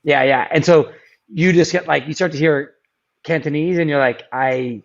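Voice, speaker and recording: A man's voice: fairly high pitch, moderate pace, highs cut off. A man as a podcast guest, chatting casually with many ums and restarts. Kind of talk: casual interview chat.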